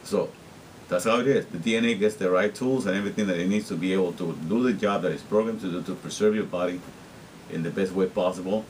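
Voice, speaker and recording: Earnest man, low voice. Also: quick pace, a little hiss or hum, rough recording. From Guatemala. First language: Spanish. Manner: earnest, repetitive, many restarts. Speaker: vlogger. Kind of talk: rambling personal monologue.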